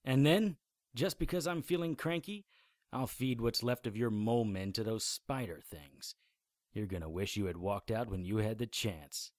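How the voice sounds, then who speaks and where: A man's voice: fairly low pitch, normal speed, no echo, close to an everyday voice. Man playing defiant detective hero, dry sound. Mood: threatening. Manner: defiant, threatening. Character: cranky, defiant private investigator hero. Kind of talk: radio drama acting.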